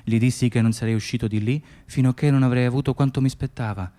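An actor playing a weary, dutiful Moroccan immigrant hospital worker in a radio drama, speaking in a plain, insistent monologue. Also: male voice, mid-range voice, steady tone, fast, dark tone, natural voice. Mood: grave testifying. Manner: clipped inner monologue and plain testimony.

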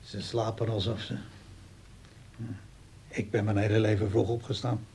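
An actor playing a lonely, brooding old widowed father in a radio play, with a slow, halting, musing way of talking. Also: lowish voice, flat delivery, warm tone, a little hiss or hum, close to an everyday voice. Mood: musing, wistful. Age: older.

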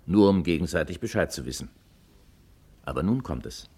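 Well-to-do husband in vintage radio mystery: lowish husky voice, lively swoops, deliberate, puzzled explanations. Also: male voice. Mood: puzzled, explaining. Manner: deliberate, explanatory, carefully emphatic. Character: puzzled, well-off husband confiding in an old friend. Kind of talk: 1950s German radio crime drama acting.

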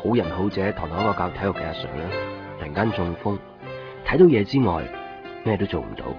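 A man's voice: low voice, fast talker, natural voice. A man playing a brooding young boyfriend in a radio drama, subdued and plain-spoken. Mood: reflective, sombre. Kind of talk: Cantonese radio drama narration and dialogue. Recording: dull lo-fi radio recording, music behind.

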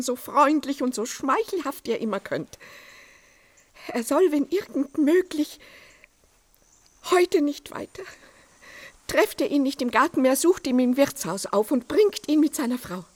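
A woman's voice: high-pitched, animated, measured pace. An actress playing a gracious, commanding lady of a country household in a radio drama, with theatrical, old-fashioned politeness. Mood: soothing, decisive. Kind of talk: German radio drama acting.

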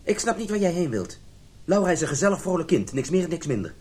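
Jealous middle-aged husband, swinging pitch. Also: male voice, sing-song, fast, a little mellow. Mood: defensive. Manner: agitated, stammering when upset. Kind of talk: radio drama acting.

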